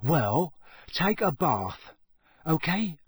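A man's voice: medium pitch, big pitch swings, measured pace, slightly rough, no echo, studio quality. A man voicing a friendly, slightly awkward older schoolboy in a storybook, speaking in a casual, hesitant, confiding way. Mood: awkward, helpful.

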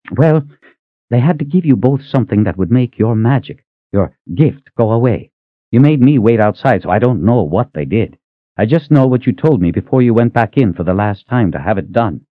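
A man voicing a big gruff middle-aged male coachman who sounds weary and patient. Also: mid-range voice, dry recording, muffled recording, voice pushed up.

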